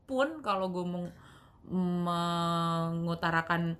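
A young woman with a Betawi-tinged Jakarta accent, co-hosting a casual podcast chat, lively and slangy, often laughing and dramatizing. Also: fairly low pitch, extremely slow. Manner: animated, laughing, dramatic self-pity, slangy code-mixing. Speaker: podcast co-host.

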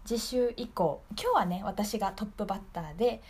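A woman's voice: mid-range voice, brisk, breathy edge. A woman hosting a radio show in a casual, polite, chatty way, explaining things off the cuff.

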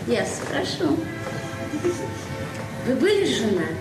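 Shy middle-aged librarian, childlike candour, higher female voice, distant over music in vintage radio drama. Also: sing-song, takes it slowly. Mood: earnest, curious. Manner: childlike, earnest, hesitant, questioning. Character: naive, candid, shy librarian woman in her forties. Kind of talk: 1970s Soviet radio play acting. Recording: echoey distant 1970s stage-like recording, music behind.

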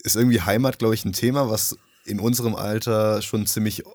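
A young man who grew up in Nepal, hosting a casual podcast in a relaxed, hesitant way. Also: lowish voice, brisk, no echo, clean studio sound. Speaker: podcast host. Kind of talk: casual conversation.

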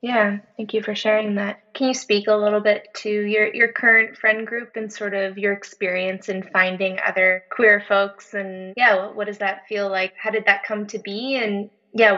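A woman hosting an interview, asking gentle, somewhat hesitant questions and thanking her guest. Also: medium pitch. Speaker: podcast host. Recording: dull lo-fi audio.

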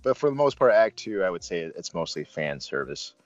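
A man talks through a casual film review as host, halting and rambling, with bursts of emphasis. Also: sits low, sing-song, no room sound, dull audio. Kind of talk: casual movie review discussion.

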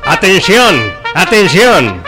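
A man playing a pompous royal town crier in a comic radio play, declaiming loudly like a hawker with an Argentine accent. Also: high voice, big pitch swings, slow, over music, full character voice. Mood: proclaiming. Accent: Argentine Rioplatense. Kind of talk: comic radio theatre acting.

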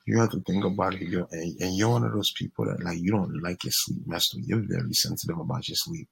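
A man's voice: low-pitched, fast, creaky, a bit bright, breathy edge, poor audio. A young man co-hosting a casual podcast, joking and easygoing, with lots of slang. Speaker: podcast co-host. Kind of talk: casual chat.

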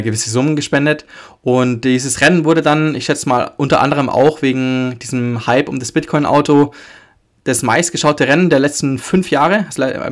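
A man with a German accent who has a cold and a stuffy nose, hosting a solo podcast in a loose, rambling way with many fillers and self-corrections. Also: medium pitch. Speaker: podcast host. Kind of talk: casual solo podcast talk.

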